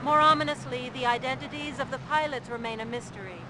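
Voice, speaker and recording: Actress as foreign news reporter, high serious voice, formal delivery, clearly noisy outdoor location with vehicles. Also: female voice, steady tone, fast talker, lightly acted. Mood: grave. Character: serious female television foreign news correspondent. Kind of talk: scripted news report in a game.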